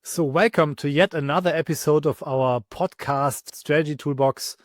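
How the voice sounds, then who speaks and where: A man's voice: fairly high pitch, normal speed, no echo, clean studio sound. Man hosting a podcast, lots of "right?". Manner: casual, keeps adding "right?". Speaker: podcast host. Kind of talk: conversational interview.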